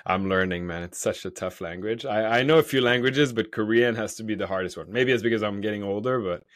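Host: man, lowish voice, dry close sound, chatty interviewer. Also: a little fast, fairly bright tone, no room sound. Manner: friendly, chatty, asks leading questions. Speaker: podcast host. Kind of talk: casual conversational interview.

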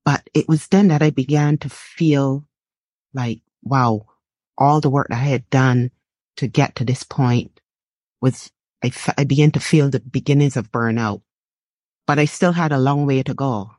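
A middle-aged woman from Barbados with a Barbadian accent, reflecting on personal experience as an interview guest, often halting and repeating phrases. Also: very deep voice, darkish tone, no room sound. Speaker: interview guest, artist and writer.

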